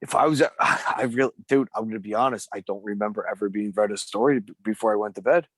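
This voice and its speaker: A man's voice: on the low side, fast talker, a bit bright, husky, no room sound. Guy in middle age, casual podcast banter, wry n sweary, really dry sound. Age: middle-aged. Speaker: podcast co-host. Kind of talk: casual comedic podcast chat.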